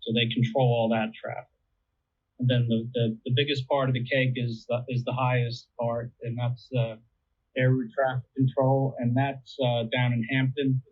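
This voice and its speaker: A man's voice: medium pitch, level tone, normal speed. A man being interviewed, explaining things in a casual, conversational way. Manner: conversational, explains with everyday analogies. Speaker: interviewee explaining air traffic control. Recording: tinny narrowband phone-like line.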